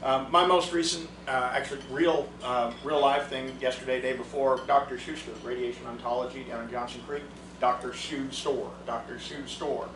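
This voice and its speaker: A man's voice: medium pitch, steady tone, moderate pace, background noise. A man giving a casual, chatty training talk to a group, restarting his sentences often, recorded from a distance in a meeting room. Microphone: room mic, far from the speaker. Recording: echoey room recorded from far off.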